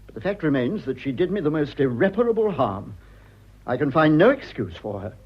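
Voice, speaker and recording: A man's voice: a little high, very animated, brisk, husky. An actor playing a pompous, prim upper-class British husband in his thirties, in a radio drawing-room comedy. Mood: bitter, indignant. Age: 30s. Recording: dull lo-fi old radio recording.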